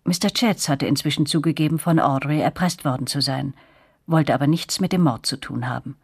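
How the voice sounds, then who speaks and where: An actress narrating a radio drama as a reflective woman recalling her girlhood, with a breathy, husky voice. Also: deep voice, brisk, no echo, studio quality. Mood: reflective, uneasy.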